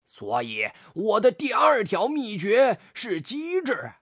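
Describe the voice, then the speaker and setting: A storyteller voicing a kindly old grandfather toad, brave and wily, with a husky voice. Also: medium pitch, wildly swooping pitch, slow pace, no room sound, clean studio sound, voice pushed up, heavily acted. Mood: pleased, instructive. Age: older.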